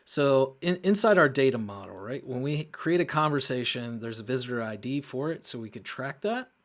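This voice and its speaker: A man hosting a casual coding walkthrough, thinking aloud in a halting way as he works and often muttering to himself. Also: mid-range voice, animated. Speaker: host of a coding show.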